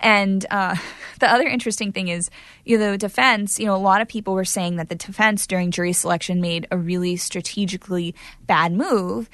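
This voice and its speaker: A woman's voice: mid-range voice, fairly even intonation, fairly quick, fairly bright tone, no echo. A woman reporter recounting a trial in a chatty way, with many fillers. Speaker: court reporter guest. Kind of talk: conversational interview answers.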